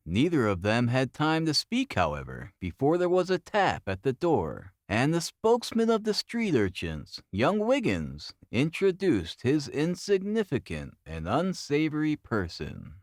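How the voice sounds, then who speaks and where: A man reading a classic novel as scripted narration, in a formal, expressive storytelling manner. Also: medium pitch, dry recording, clean studio sound. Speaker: audiobook narrator.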